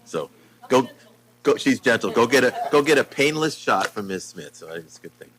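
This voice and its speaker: A man chairing a school board meeting, relaxed and joking as he moves on to the next item. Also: medium pitch, moderate pace, a little hiss or hum. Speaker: board president. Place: meeting room.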